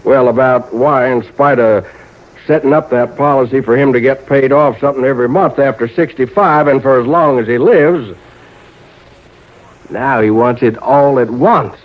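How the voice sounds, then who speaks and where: An actor playing a folksy, nervous old small-town insurance agent with a rural American accent, hesitant and drawn out in old-time radio drama style. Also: male voice, mid-range voice, normal speed, natural voice. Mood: confiding. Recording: narrow-band vintage radio recording.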